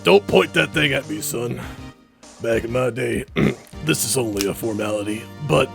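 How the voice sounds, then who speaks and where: A man voicing a solemn, easily rattled old judge in a video game, with a gravelly voice. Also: medium pitch, moderate pace, over music. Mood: stern, formal.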